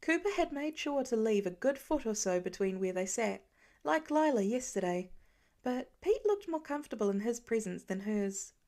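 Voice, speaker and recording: A woman reading scripted fiction as an audiobook narrator, in an expressive and careful manner. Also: fairly high pitch, moderate pace.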